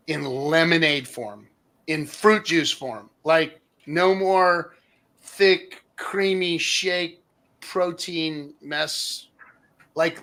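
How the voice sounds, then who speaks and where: A man's voice: fairly high pitch, bright, forceful tone. A man hosting a casual livestream, chatting with rambling asides and reading out comments from the chat. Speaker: livestream host.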